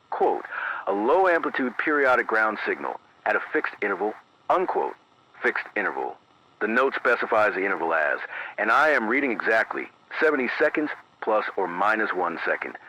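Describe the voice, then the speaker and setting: A man playing a careful, grave local radio announcer who reads emergency bulletins in a formal, measured way, repeating key phrases, through an AM radio filter. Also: lowish voice, bright, forceful tone, heavily acted. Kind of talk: scripted analog horror news bulletin. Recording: dull AM radio sound, faint noise.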